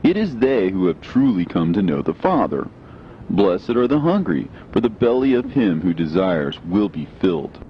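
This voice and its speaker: A man giving a solemn, measured, declamatory reading of scripture. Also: big pitch swings. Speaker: reader. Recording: dull lo-fi with faint low rumble.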